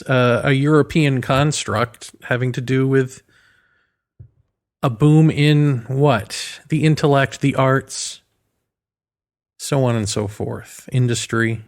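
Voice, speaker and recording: A man speaks alone in a mid-range voice, giving an opinionated, philosophical commentary. His delivery is sardonic and rhetorical. He poses questions to the listener and repeats phrases for emphasis. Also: medium pitch. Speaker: commentator delivering a solo monologue.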